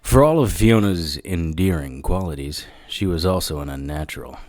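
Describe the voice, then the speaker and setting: A man's voice: low-pitched, expressive, normal speed, a little mellow, faint music behind. A man acting a wry, hard-boiled occult detective who narrates and talks in a noir radio drama. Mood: brooding, matter-of-fact. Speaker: narrator and lead character.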